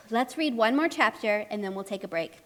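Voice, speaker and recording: A woman's voice: on the high side, lively intonation, normal speed, fairly bright tone. A woman playing a chatty, anxious storybook narrator, speaking expressively and emphatically in a children's puppet show. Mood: fretful.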